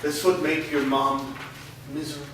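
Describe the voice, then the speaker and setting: A man's voice: flat delivery, normal speed, close to an everyday voice. A man playing a menacing stepfather. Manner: threatening, low and controlling. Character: menacing abusive middle-aged stepfather Kevin. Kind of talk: staged play reading. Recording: echoey room from far off, noisy.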